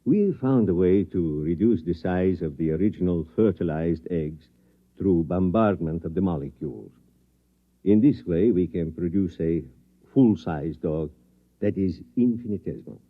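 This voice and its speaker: A man acting in an old radio drama as a coolly confident scientist doctor, speaking in a formal, deliberate, courteous way. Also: low-pitched, expressive, heavily acted. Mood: persuasive, assured. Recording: old radio broadcast, dull band-limited sound.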